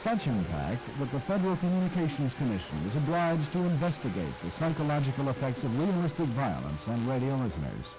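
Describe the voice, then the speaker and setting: A man giving scripted documentary narration in a measured, articulate manner. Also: medium pitch, big pitch swings, over music, low-quality recording.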